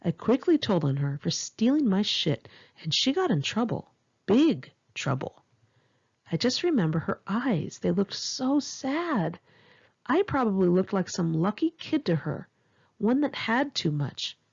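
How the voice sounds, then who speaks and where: Memoir narrator: Californian woman, gravelly and husky, lively intonation, candid conversational storytelling. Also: medium pitch, measured pace, muffled recording. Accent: Californian American. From Los Angeles area, California, United States. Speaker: author reading own memoir. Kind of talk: narrated memoir audiobook, one-take home reading.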